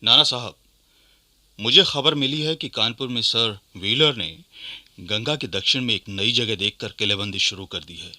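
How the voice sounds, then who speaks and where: Loyal rebel aide, sharp dry voice. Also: male voice, fairly low pitch, moderate pace, dry recording. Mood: earnest, resolute. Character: Azimullah Khan, loyal rebel aide reporting intelligence. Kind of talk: Hindi historical radio play acting.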